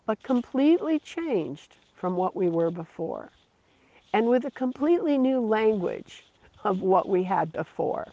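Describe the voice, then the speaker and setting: A preacher giving a slow, earnest devotional sermon, repeating phrases for emphasis. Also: medium pitch, darkish tone, no echo. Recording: dull lo-fi recording.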